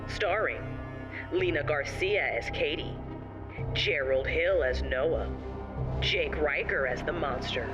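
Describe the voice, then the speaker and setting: A man announces scripted podcast credits. His voice sits a little high and the read is even, with music playing clearly underneath.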